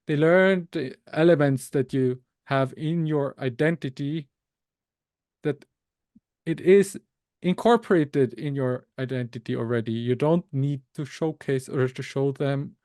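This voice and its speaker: A man from Austria whose first language is Tagalog, answering interview questions slowly, with many fillers and self-corrections. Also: mid-range voice, darkish tone, no room sound, clean studio sound. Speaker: researcher and author, interview guest.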